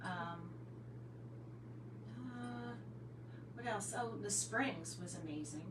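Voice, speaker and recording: A woman's voice: medium pitch, animated, slow, far from the mic. A noisy, echoey recording holds an American woman a little away from the mic. She chats casually as a podcast co-host. Her manner is blunt and teasing. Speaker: podcast co-host, paranormal investigator. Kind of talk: casual chat. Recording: echoey room, off-mic, noisy hum behind.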